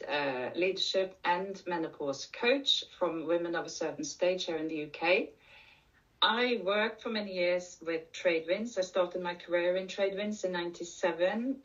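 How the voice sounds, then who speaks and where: A woman's voice: on the low side, recorded from a distance, dull audio. A middle-aged woman from Norway with a Norwegian accent, giving an earnest conference talk as a coach and repeating key points for emphasis. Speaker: menopause coach and speaker. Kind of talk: conference presentation.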